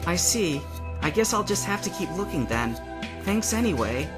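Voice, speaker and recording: A man's voice: high-pitched, very animated, moderate pace, over music, pitched above the performer's own voice. A man voicing a polite, distracted girl looking for her lost friend in a story. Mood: resigned.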